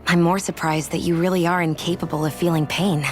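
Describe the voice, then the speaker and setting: A woman's voice: fairly low pitch, level tone, brisk, faint music behind, lightly acted. An actress playing a proud, guarded young woman in a video game, cool and self-possessed. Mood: reserved, matter-of-fact. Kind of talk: dramatic video game voice acting.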